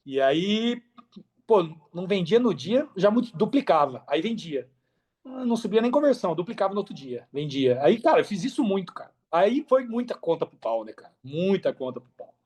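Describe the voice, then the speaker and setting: Man, Brazilian accent, fairly high pitch, casual podcast guest, emphatic, slangy, repetitive phrasing. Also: normal speed, breathy edge. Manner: emphatic, repeats phrases, slangy, animated storytelling with acted-out quotes. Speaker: podcast guest, affiliate marketer.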